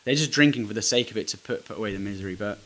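Male host, lowish voice, candid opinionated chat. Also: rapid-fire, a bit bright. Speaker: podcast host. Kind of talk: casual interview chat.

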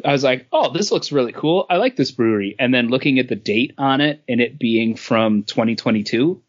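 A man's voice: medium pitch, expressive, a little fast, dull audio. A man co-hosting a podcast, talking casually with his friends. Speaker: podcast co-host. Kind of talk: casual panel chat.

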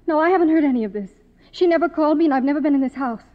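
A woman's voice: high-pitched, very fast, close to an everyday voice. An actress playing an earnest, heartbroken young woman in love, in 1950s radio drama style. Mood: urgent denial. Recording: muffled old radio recording, echoey hall.

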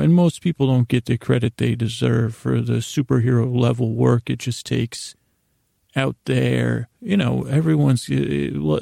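A man hosting a bedtime podcast in a gravelly voice, rambling in a halting, self-deprecating way that trails off mid-sentence to lull listeners to sleep. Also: medium pitch, warm tone, no echo, studio quality. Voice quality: gravelly, creaky. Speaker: podcast host.

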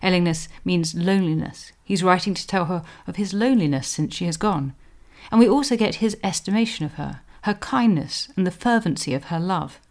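A woman historian hosting a podcast, giving scripted explanatory narration in an articulate, scholarly manner with deliberate emphasis. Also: on the low side, darkish tone.

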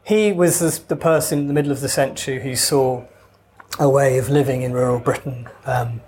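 A man's voice: medium pitch, brisk, darkish tone. A man from Wales with no Welsh accent, an author talking casually in an interview, wry and digressive with hesitant restarts. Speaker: author guest.

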